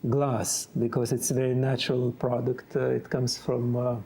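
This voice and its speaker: A man, a glass artist, explaining his artwork in a halting way with many filler sounds. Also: mid-range voice, little pitch movement, creaky, warm tone, a little hiss or hum.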